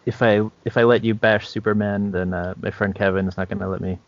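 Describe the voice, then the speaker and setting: A man with a low voice chats as a podcast co-host. He speaks casually, in halting phrases with pauses. The sound is dry and slightly dull. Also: steady tone, creaky, dry recording. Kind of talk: casual chat about tabletop roleplaying and comics.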